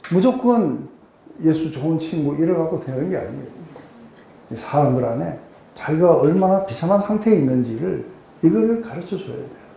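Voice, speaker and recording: A weeknight Bible study in an echoey room, where a soft-edged, very dark-toned man explains a passage and keeps checking that everyone follows. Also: on the high side, creaky, slight background noise. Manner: explanatory, often checks in with listeners. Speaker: pastor teaching a Bible study group. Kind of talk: conversational expository sermon with rhetorical questions. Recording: roomy echo.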